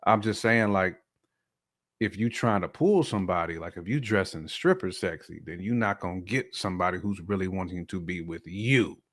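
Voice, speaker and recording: A man's voice: low-pitched, normal speed. A man co-hosting a casual talk show, giving his opinions in emphatic, slangy, conversational speech. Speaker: podcast co-host.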